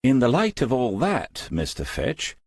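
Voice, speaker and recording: A man voicing a formal, careful solicitor in an audiobook, speaking precisely and in measured phrases. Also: medium pitch, huge pitch swings, no echo, studio quality, voice pushed up, full character voice. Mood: formal, advisory. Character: formal, careful male solicitor giving legal advice.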